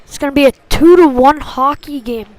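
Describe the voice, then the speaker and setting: A woman giving live hockey play-by-play in short clipped phrases, excitable on big plays. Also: sits high, fairly quick, no echo, low-quality recording. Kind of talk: live sports commentary.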